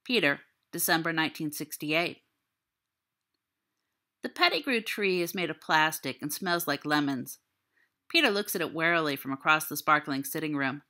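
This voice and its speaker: A woman's voice: deep voice, sharp tone. A woman reading literary fiction aloud as a scripted narration. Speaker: audiobook reader.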